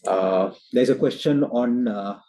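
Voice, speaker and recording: Husky-edged man presenting on an earnings call. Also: mid-range voice, warm tone. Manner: hesitant, halting delivery with frequent uhs. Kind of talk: formal investor presentation, answering questions. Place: video call.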